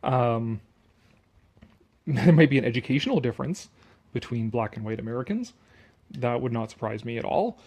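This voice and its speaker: A man's voice: mid-range voice, animated, moderate pace, long pauses, a little mellow, slightly rough. A man reading an article aloud with casual, sarcastic commentary, blunt and dismissive, prone to swearing. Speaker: online commentator.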